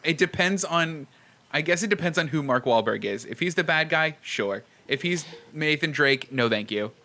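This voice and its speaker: Frank, bright-voiced man on a podcast. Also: a little high, expressive, moderate pace. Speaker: podcast co-host. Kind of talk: casual chat about movies and video games.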